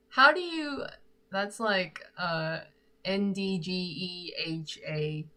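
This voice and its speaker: A woman's voice: on the low side, lively intonation, very slow, pushed and bright. A young woman from Korea, chatting casually with viewers, giggly and thinking aloud. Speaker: singer-songwriter chatting with viewers. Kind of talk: casual chat answering live comments.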